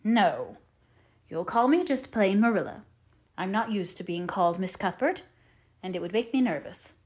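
A woman voicing a stern, practical older female guardian in an audiobook, brisk and matter-of-fact. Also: medium pitch, expressive, a little mellow, lightly acted. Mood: grim, firm. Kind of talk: audiobook character voice.

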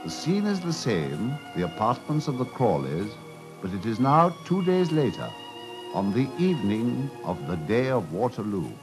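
A man's voice: mid-range voice, wildly swooping pitch, moderate pace, music in the background. A man narrating a 1950s radio drama as its presenter, in scripted storytelling style. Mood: measured. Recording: vintage 1950s radio recording.

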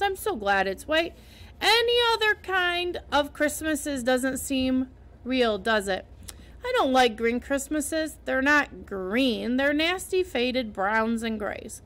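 A woman's voice: high-pitched, animated, slow pace, a bit bright, full character voice. A woman voicing a dreamy, gushing young orphan girl who talks in breathless, rapturous exclamations. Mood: delighted.

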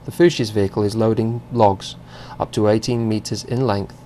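A man reading a scripted narration for a company video in an even, measured way. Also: lowish voice, a little hiss or hum.

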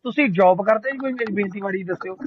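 A man's voice: high-pitched, quick pace. A man debating on a phone call, explaining his points persuasively and addressing the other person respectfully. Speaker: caller debating a social media post. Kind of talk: argumentative phone conversation. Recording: muffled noisy phone call recording.

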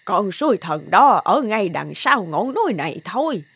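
A woman voicing a helpful old village woman in an animated fairy tale, with a husky voice. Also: medium pitch, moderate pace, full character voice.

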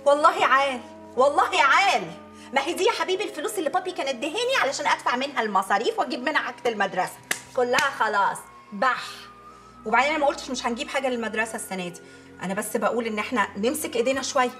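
A woman's voice: sits high, expressive, racing, pushed and bright, soft background music. A woman playing an exasperated, scheming Egyptian mother and wife in a TV comedy-drama, with a dramatic, complaining manner. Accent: Egyptian Arabic. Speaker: actress. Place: furnished home interior, film set.

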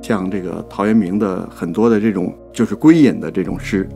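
Deep-voiced man co-hosting a poetry talk. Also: fairly quick, gravelly, warm tone, faint music behind. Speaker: co-host and producer. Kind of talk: conversational commentary on poetry.

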